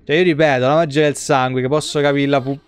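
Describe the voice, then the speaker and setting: Male streamer, Roman accent, clear resonant voice; loose, colloquial, swear-heavy play-by-play from a home setup. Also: medium pitch, little pitch movement, fast. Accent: Roman Italian. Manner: foul-mouthed, rambling, colloquial. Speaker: game streamer. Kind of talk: casual live gameplay commentary. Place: small indoor streaming room.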